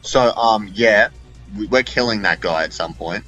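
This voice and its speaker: A man's voice: on the low side, unhurried, sharp tone, lo-fi sound. Sweary, rambling man over music. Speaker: video creator. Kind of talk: unscripted gameplay commentary.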